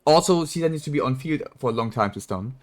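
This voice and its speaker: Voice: medium pitch, lively intonation, quick pace. A game streamer chatting casually on a live stream in a blunt, slangy way, with some swearing. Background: video game dialogue.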